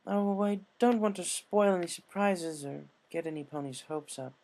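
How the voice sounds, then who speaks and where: Man as female unicorn pony, raised pitch, refined, eager, polite, earnest, storybook character. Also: moderate pace, creaky, darkish tone. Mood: earnest, respectful.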